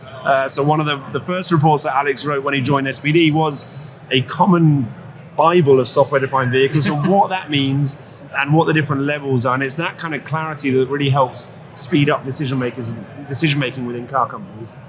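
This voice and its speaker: A measured, articulate man explains his company's work at mid pitch. Clear show-floor noise runs behind him. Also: fairly even intonation. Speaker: company CEO, guest. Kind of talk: explanatory interview answers. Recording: live event with clear background noise. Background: show-floor ambience.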